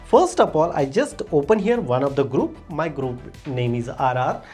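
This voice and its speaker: A low-voiced woman with an Indian accent walks viewers through a screen demonstration. Her pitch moves in an animated way, and she stresses the start of each simple instruction. Faint music plays behind her. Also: moderate pace. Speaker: tech tutorial host. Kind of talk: step-by-step screen demonstration narration.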